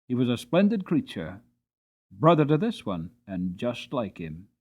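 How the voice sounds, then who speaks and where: A man's voice: medium pitch, huge pitch swings, a little slow, darkish tone, pitched below the performer's own voice. A man doing a polite young groom. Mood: earnest.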